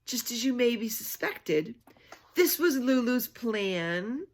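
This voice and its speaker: A woman's voice: fairly high pitch, big pitch swings, takes it slowly. A woman storyteller reading a children's book aloud expressively, adding chatty asides and prompting listeners with questions.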